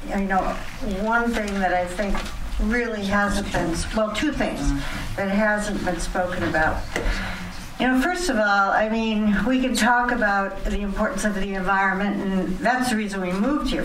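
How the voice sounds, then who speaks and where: A woman speaking as a resident at a public town meeting, hesitant and measured as she gives her comment. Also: medium pitch. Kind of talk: public comment, partly prepared. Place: community center meeting hall. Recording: echoey, distant, noisy meeting-hall recording.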